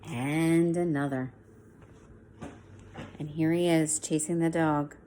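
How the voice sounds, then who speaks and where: A woman teacher reading a picture book aloud to young children, expressively, with softer asides to explain it. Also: low voice, steady tone, takes it slowly, slight background noise. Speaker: schoolteacher reading to young pupils.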